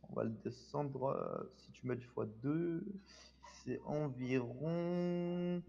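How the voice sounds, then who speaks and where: A man's voice: medium pitch, sing-song, slow pace, gravelly, dark tone. A man in casual online police role-play, hesitant and muttering as he works things out. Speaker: player acting as a police sergeant. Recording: reverberant, slightly dull gaming voice chat.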